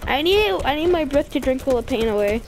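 A woman's voice: high-pitched, lively intonation, fairly quick, crowd noise. A woman bantering casually in an online game, combative and foul-mouthed. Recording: noisy game voice chat.

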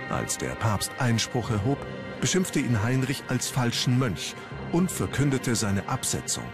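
A man reading scripted historical documentary narration in a measured, formal storytelling manner. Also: medium pitch. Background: background music.

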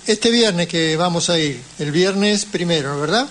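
High-pitched male radio host. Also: animated, measured pace, fairly bright tone. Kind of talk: conversational interviewing. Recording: clear background ambient noise.

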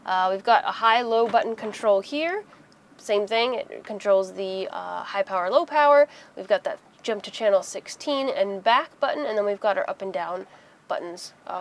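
A woman's voice: medium pitch, a little hiss or hum. A woman in her thirties giving a casual, conversational how-to explanation inside a small boat cabin, using everyday examples. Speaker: host of a sailing how-to video.

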